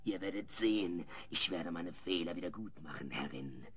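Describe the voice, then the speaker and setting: A man's voice: low voice, animated, moderate pace. An actor playing a servile, apologetic old male servant in a German audio drama. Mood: submissive, apologetic. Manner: submissive, apologetic.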